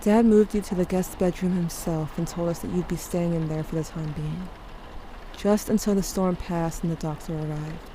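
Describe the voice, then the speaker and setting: Woman doing a grieving teen girl narrating an audio drama, lowish voice, wry and confiding, noisy ambience behind. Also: fairly even intonation, moderate pace, warm tone, lightly acted. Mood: weary, tense. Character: grieving teenage girl narrating her story, sardonic and weary.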